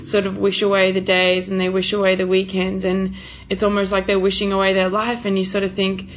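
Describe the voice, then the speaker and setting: A young woman conducts a casual interview. Her voice sits at an average pitch, and she speaks informally, affirming what she hears. The recording is a little dull and lo-fi. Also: monotone, a little fast. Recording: dull, lo-fi audio.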